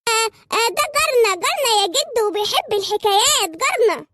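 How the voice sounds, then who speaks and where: A squeaky Egyptian grandchild for a comic cartoon. The voice is tiny, extremely high and sharp, with an excitable, whiny, pleading manner. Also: quick pace, exaggerated voice. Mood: placating.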